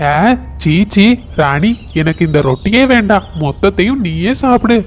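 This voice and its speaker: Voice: medium pitch, lively intonation, moderate pace, a little hiss or hum, natural voice. An actor voicing a hungry, scheming adult male crow in a children's cartoon. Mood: disgusted.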